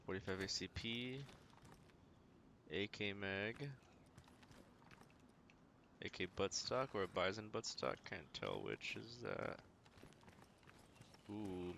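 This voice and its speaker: A man with a lowish, bright voice gives casual gameplay commentary, often muttering to himself. Faint game ambience and noise sit behind him. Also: sing-song, slow pace, gravelly, pauses often. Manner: muttering to himself while playing. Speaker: game streamer.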